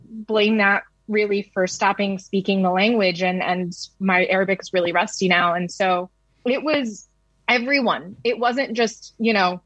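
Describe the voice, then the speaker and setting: A woman's voice: mid-range voice, fairly even intonation, a little slow, fairly bright tone, dry recording, dull audio. A woman in her thirties from the United States, a news reporter speaking candidly and conversationally. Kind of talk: interview recollection.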